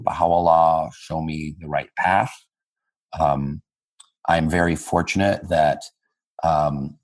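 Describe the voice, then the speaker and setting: A man in his fifties, a podcast host, talking over a video call in a thoughtful, rambling way with many fillers and restarts. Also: low-pitched. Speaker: podcast host interviewing a guest. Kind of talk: reflective casual conversation.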